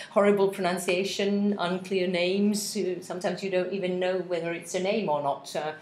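A woman's voice: fairly low pitch, fairly even intonation, moderate pace, darkish tone, reverberant, a little hiss or hum. A woman in her forties, a native Finnish speaker, giving a practice speech to interpreters with many hesitations and spelled-out words, recorded on a laptop webcam in an office room. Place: office meeting room with window blinds.

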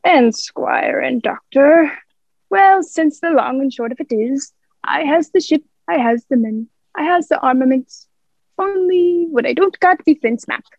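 A woman's voice: high-pitched, lively intonation, measured pace, darkish tone, dry recording, lo-fi sound. A young woman playing a wily, menacing male pirate captain in an amateur radio play, with drawling rustic pirate speech. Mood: sly.

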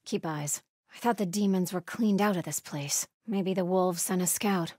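A devout female cult sentry from a video game. Her voice is lowish and husky, solemn and watchful, with measured, pious phrasing and a dry, close sound. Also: darkish tone, dry recording. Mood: solemn vigilance. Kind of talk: video game ambient dialogue.

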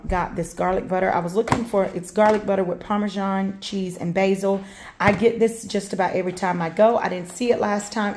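A woman in her thirties casually listing grocery items at home, rambling with many pauses and fillers, filmed on a handheld phone. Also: lowish voice, darkish tone, a little hiss or hum. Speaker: vlogger showing a grocery haul. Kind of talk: casual show-and-tell narration. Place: home kitchen, indoors.

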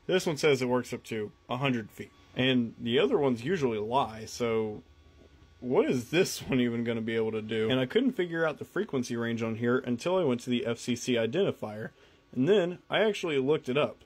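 A man's voice: medium pitch, expressive, a little fast, breathy edge. A man talking casually through a hands-on product review in a wry, matter-of-fact way.

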